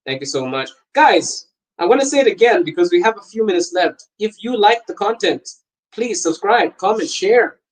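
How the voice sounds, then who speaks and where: A young man from Botswana hosting a casual livestream podcast, talking loosely, laughing often and reading viewer comments. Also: high voice. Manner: animated, laughing often, wandering and talkative.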